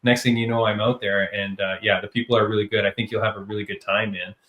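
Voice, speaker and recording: A man's voice: fairly low pitch, flat delivery, fast, a bit bright. A man from Canada hosting a podcast interview, upbeat and chummy, calling his guest "man" and "buddy". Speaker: podcast host. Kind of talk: casual interview.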